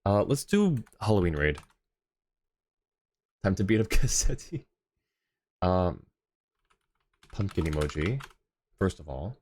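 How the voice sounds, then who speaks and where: A man's voice: deep voice, big pitch swings, slow pace, long pauses, darkish tone, a little hiss or hum. Chuckling, easygoing male streamer. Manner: laughs often, rambling and easygoing. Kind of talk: casual chat with viewers while streaming.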